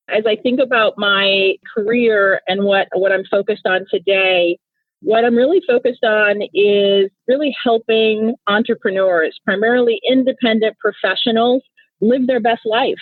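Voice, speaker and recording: A woman's voice: mid-range voice, no echo. A woman from Nigeria, Igbo her first language, talking reflectively as a podcast guest and often repeating words as she thinks. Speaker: podcast guest, company founder. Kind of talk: conversational interview. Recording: tinny phone-like remote line.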